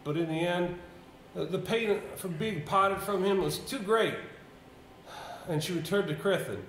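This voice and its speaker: A man in a live amateur stage play, playing a troubled, reluctant villager burdened by secrets, telling them haltingly. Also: sits high, a bit bright. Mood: sorrowful recounting. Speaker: stage actor. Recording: echoey, noisy amateur theater stage recording.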